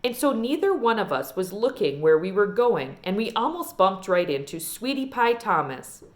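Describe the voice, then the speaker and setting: A woman reading a children's novel aloud in an expressive storytelling style. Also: medium pitch, normal speed. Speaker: read-aloud reader.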